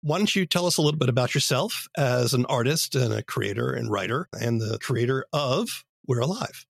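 A man's voice: mid-range voice, expressive, normal speed, gravelly, dry recording, clean studio sound. A man hosting a podcast, reading scripted introductions and sponsor messages. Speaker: podcast host. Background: music.

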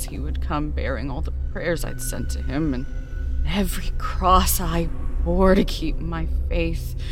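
Woman as a slow-speaking, broken royal concubine. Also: low-pitched. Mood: weary, pleading. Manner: emotional, pleading, accusing. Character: bitter, broken, plague-stricken concubine of a king. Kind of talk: horror-fantasy audio drama acting. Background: music.

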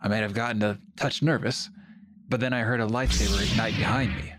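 A man narrating his part of an audio drama in the first person, brisk and matter-of-fact. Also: lowish voice, steady tone, over music.

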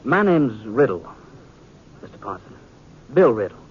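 A man's voice: mid-range voice, sing-song. A man playing an earnest, polite young frontier sheriff with an American accent and a reserved manner, in a radio Western. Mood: guarded, probing. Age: young adult. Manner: courteous, reserved, deliberate. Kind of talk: 1950s radio Western acting. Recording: muffled noisy 1950s radio recording.

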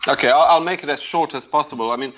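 A man's voice: fairly high pitch, fast talker, a bit bright. Chatty middle-aged man, slightly dull audio. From South Africa, after a childhood moving between countries. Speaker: interview guest, medical company CEO. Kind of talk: conversational storytelling.

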